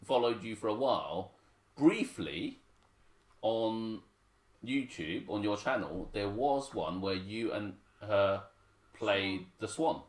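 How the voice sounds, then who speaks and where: A man from Hong Kong with a very deep voice, chatting and joking as he co-hosts his son's piano livestream and reads out viewer comments. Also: expressive, slow, low-quality recording. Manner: chatty, joking, self-mocking. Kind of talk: casual chat reading viewer comments.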